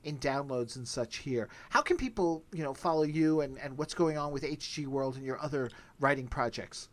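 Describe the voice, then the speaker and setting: A man's voice: medium pitch, normal speed. A man hosting a podcast in a chatty, casual, self-deprecating way. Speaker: podcast host.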